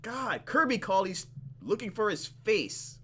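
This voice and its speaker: Casting: playful male let's-player, lively swings in pitch, narrates game text and reacts casually, over clear background noise. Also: a little slow. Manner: playful, expressive, acts out reactions. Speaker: gaming channel host. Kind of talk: let's play, reading story text aloud with casual commentary.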